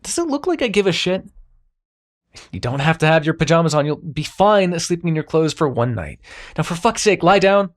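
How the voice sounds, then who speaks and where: A man playing a grumpy but reluctantly kind immortal dream-bringer in an ASMR roleplay, brusque and halting. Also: on the high side, big pitch swings. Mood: brusque, caring. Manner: brusque, exasperated, halting, softening into concern.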